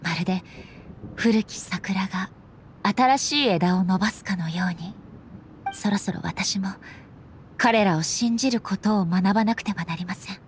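A woman voicing a resolute warrior deity in an anime game, speaking solemnly with formal, measured phrasing. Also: close to an everyday voice. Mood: solemn, determined. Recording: faint low rumble behind voice. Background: faint rumbling noise.

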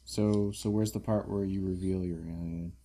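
A man's voice: low-pitched, steady tone, normal speed, background noise. A young man co-hosting a casual pool game video, teasing in a mock-formal manner. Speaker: gamer co-host. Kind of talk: casual gaming chat.

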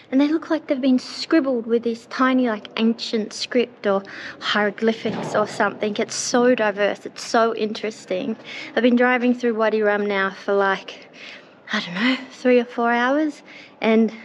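Bubbly higher-voiced woman travel vlogger. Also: a little hiss or hum. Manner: bright, enthusiastic and chatty. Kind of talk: travel vlog narration. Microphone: action camera held at arm's length.

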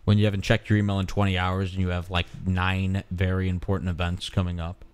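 A man's voice: low-pitched, monotone, clean tone. A man hosting a live radio show from a small studio, bantering sarcastically with co-hosts and callers. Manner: sarcastic, quick to mock callers and chat.

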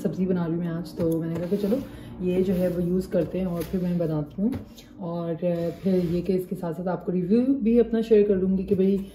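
A woman's voice: on the low side, low-quality recording. A young woman, chatting casually to her phone camera for a vlog and mixing in English phrases. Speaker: vlogger. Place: outdoors on a bus in city traffic. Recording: loud traffic noise behind.

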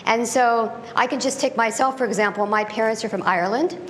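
A woman's voice: a little high, a little fast, fairly bright tone, slight background noise, poor audio. A middle-aged woman giving a lecture with slides in a conference room, talking conversationally with frequent ums and restarts. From United States. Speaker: guest speaker. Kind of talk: lecture presentation. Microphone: lectern mic, later handheld.

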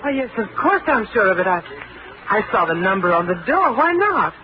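An actress playing a frightened, insistent young newlywed wife in an old radio drama, speaking urgently. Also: female voice, medium pitch, very animated, brisk, music in the background, lightly acted. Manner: urgent, pleading. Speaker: lead. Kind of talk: 1940s radio acting. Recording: dull lo-fi old radio recording, hiss.

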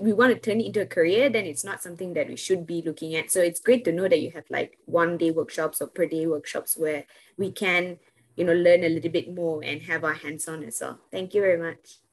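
Young woman from Brunei, husky voice, chatty video-call questioner. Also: lowish voice, brisk. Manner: chatty, with many likes and you-knows. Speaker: audience member asking a question.

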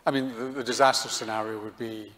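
A man moderating a conference Q&A on stage, giving brisk, conversational prompts and follow-up questions. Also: mid-range voice, fairly even intonation, a bit bright. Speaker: session moderator. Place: large conference hall stage. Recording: reverberant hall.

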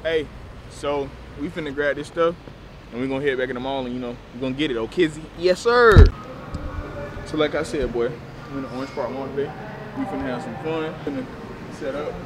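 A man's voice: mid-range voice, brisk. A man, chatting casually as a vlogger selling clothes, in loose, joking, slangy banter. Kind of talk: casual vlog chat. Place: car at a drive-thru, then an indoor mall food court. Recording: loud background noise.

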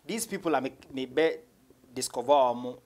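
A young Ghanaian man, a rapper being interviewed, talking casually in a husky voice and mixing English with Twi. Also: mid-range voice, moderate pace. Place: small radio studio office.

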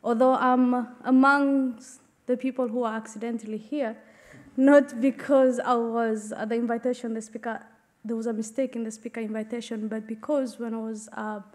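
Earnest woman from Kenya, slightly high voice. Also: steady tone. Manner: earnest, measured, with fillers and gestures. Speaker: conference speaker. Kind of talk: prepared talk at a podium. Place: conference stage, indoors. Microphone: podium microphone.

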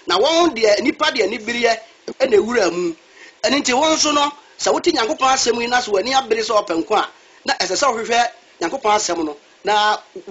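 Male preacher, very high and lively delivery, dull lo-fi recording. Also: breathy edge. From Ghana. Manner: switches between Twi and English, asks a reader to read verses. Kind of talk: sermon.

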